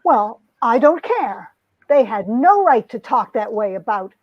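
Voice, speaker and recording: A woman's voice: fairly high pitch, very animated, unhurried, no echo, muffled recording. A woman playing a lovestruck young society heroine who narrates her romance with earnest melodrama, in an amateur comic reading. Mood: indignant. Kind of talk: dramatic reading of a 1950s romance comic, first-person narration.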